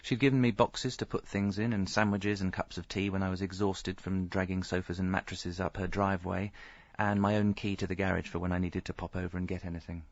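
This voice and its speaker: An actor playing a rueful, self-pitying middle-aged husband, narrating his misfortunes deadpan in a radio comedy. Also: sits low, fairly quick, hardly any pauses, no echo. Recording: slightly dull audio, faint music.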